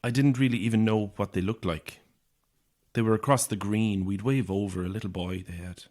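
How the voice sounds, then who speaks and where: A man's voice: lowish voice, fairly even intonation, moderate pace, darkish tone, natural voice. An actor playing an anxious, isolated young Irish man, edgy and sardonic, in a naturalistic audio horror drama. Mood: uneasy, subdued.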